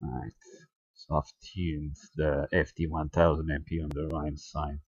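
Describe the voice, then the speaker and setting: A man with a very deep voice talks through a technical demonstration in a casual way. He speaks very slowly and hesitantly, thinking aloud. The sound is dry and somewhat dull. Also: level tone, slightly rough, no echo. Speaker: amateur radio video tutorial presenter. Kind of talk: casual hands-on screen demonstration narration.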